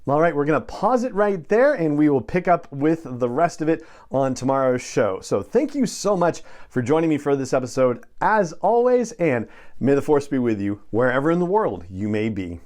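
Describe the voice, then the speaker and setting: A man's voice: on the high side, very animated, normal speed, darkish tone. A man hosting a podcast in a conversational interviewing style. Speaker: podcast host.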